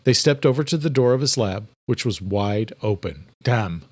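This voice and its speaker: Male audiobook narrator, scripted dramatic storytelling, medium pitch, very dry close sound. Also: expressive, normal speed, a little mellow, no room sound.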